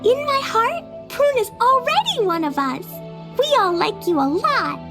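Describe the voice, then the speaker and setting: Game role: bubbly, loyal little girl, squeaky and exuberant, loud playful music behind. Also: expressive, unhurried, heavily acted. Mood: affectionate. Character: tiny bubbly, loyal childlike girl companion. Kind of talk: video game voice acting. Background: prominent children's music.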